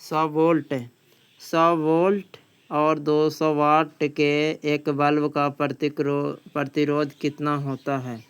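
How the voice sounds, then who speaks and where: A man teaches by reading out quiz questions and their answers. His voice is strikingly clean and smooth, pitched a little high, with very little melody. He is plain-spoken and often repeats a phrase. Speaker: teacher, exam coaching.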